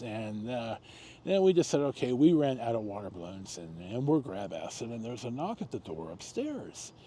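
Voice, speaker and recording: Mellow American man telling casual stories. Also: medium pitch, slight background noise. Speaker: host of a personal storytime show. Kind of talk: casual rambling personal storytelling.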